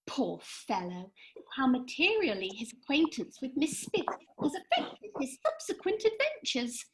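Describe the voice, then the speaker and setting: A woman's voice: high voice, big pitch swings, measured pace, noisy background. A woman playing an airy, matter-of-fact fairy in a comic radio play, breezy and arch, recorded over a home video call. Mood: amused, pitying.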